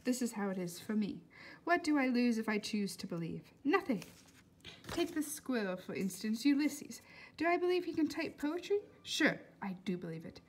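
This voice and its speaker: A woman voicing an elderly foreign woman doctor in a storybook, warm and philosophical, with emphatic, oddly formal phrasing. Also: on the high side, sing-song, normal speed, slight background noise. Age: older.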